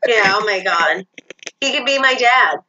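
A woman's voice: medium pitch, lively intonation, measured pace, bright, forceful tone. A woman in her thirties chatting casually as a guest with her sister, easygoing with short replies and frequent laughs. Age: 30s. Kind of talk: casual chat. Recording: dull lo-fi audio.

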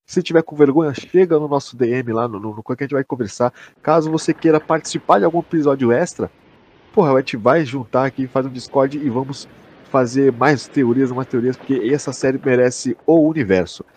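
A man's voice: mid-range voice, a little fast, a little mellow. A Brazilian man chatting casually and enthusiastically as a podcast host, slangy and emphatic, with some swearing. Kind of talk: casual chat, enthusiastic review.

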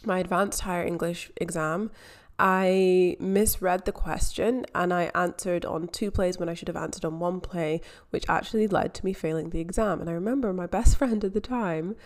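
Young Scottish woman, podcast host, candid and chatty, lower-pitched. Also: steady tone, normal speed. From Scotland. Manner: chatty and candid, self-deprecating asides. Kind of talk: casual solo podcast monologue.

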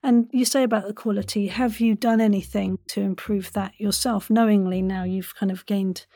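A woman's voice: mid-range voice, steady tone, normal speed, warm tone, no room sound, studio quality. A woman hosting a casual interview in an effusive, playful, chatty way. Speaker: podcast host.

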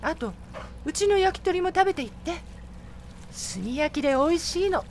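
A woman's voice: very high-pitched, sing-song, normal speed, darkish tone, a little hiss or hum, exaggerated voice. A woman playing a kind, bereaved young mother in a radio drama, with tender, feminine, motherly phrasing. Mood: kind, wistful.

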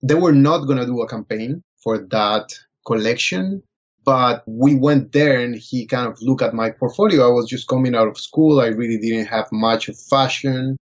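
A man from Colombia, speaking as a guest and telling his story in a relaxed, thoughtful way. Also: medium pitch, normal speed, highs cut off. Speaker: guest photographer. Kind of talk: casual interview answers.

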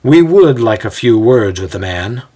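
A man's voice: fairly low pitch, very animated, unhurried, mellow, husky, full character voice. A man voicing an impatient, sarcastic wiry man in an audio storybook, with a haughty, dry, theatrical manner. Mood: dry, scornful.

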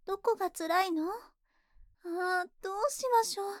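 A woman voicing a lovesick, obsessively devoted female spider monster in an ASMR voice drama, speaking in soft, intimate, doting tones. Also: extremely high pitch, dry recording, clean studio sound. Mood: anxious concern. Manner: slow, intimate, doting feminine speech. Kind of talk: Japanese ASMR situation voice drama.

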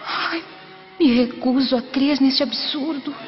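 An actress playing a devout, sensitive young Roman daughter in a radio drama, speaking in a theatrical manner. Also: female voice, high-pitched, slow pace. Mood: anguished, pleading. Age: young adult. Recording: muffled radio drama over clear music.

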